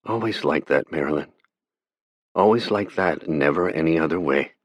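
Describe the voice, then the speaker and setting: A man voicing a young man consoling his doomed sister, deep, soft and gentle. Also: lively intonation, moderate pace, no room sound. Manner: gentle, soft, holding back pain. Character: young man, grieving older brother, gentle.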